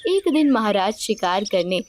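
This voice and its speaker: A woman's voice: mid-range voice, very animated, moderate pace. A woman narrating a scripted cartoon story. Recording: music under the voice. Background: background music.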